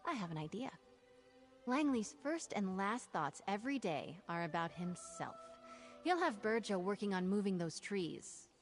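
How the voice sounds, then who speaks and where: A woman's voice: mid-range voice, very animated, normal speed, natural voice. A woman playing an eager, good-humored young knight aspirant in a video game. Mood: earnest, confident. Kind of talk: video game character dialogue. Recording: background music.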